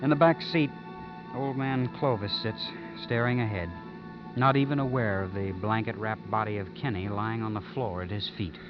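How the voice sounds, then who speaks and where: A man's voice: fairly low pitch, steady tone, normal speed, lightly acted. A man acting an earnest, weary young soldier in a 1950s radio drama. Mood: calm, weary relief. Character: weary, emotional young soldier wrongly suspected of murder. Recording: muffled vintage radio recording with music.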